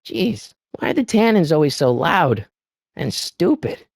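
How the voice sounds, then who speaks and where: An excitable, wisecracking eighties American teenage boy as a video game hero. His slangy, youthful delivery swings widely in pitch. The recording is close and dry, with no room sound. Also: a little slow, creaky, breathy edge, no room sound, exaggerated voice. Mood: determined, wry. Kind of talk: animated video game voice lines.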